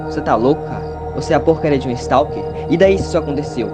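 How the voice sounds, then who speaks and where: A man's voice: on the high side, fast talker, natural voice. A man playing an arrogant, sexist soap heartthrob in an amateur audio drama, haughty, sneering and dismissive. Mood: defiant, threatening. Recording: loud music under the voice.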